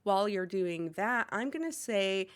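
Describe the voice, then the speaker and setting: Casting: woman game master, stuffy nasal voice, mid pitch, loose and profane. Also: lively intonation, measured pace, smooth. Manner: casual, swears often, laughs a lot. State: nasal from a cold. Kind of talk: casual chat opening a tabletop game livestream.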